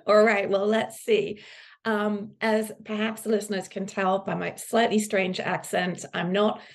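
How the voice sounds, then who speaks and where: A woman's voice: mid-range voice, steady tone, measured pace, creaky. A middle-aged woman, answering conversationally as a podcast guest. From UK, countryside in Suffolk, England. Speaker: podcast guest, business executive.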